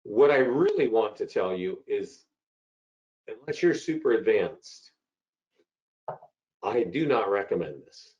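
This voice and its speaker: Hoarse man hosting a webinar. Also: fairly low pitch, big pitch swings, takes it slowly, pauses often, darkish tone. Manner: chatty, repeats himself, answers chat questions. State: hoarse from a cold. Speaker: webinar host. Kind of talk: live software webinar walkthrough. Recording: dull lo-fi call audio.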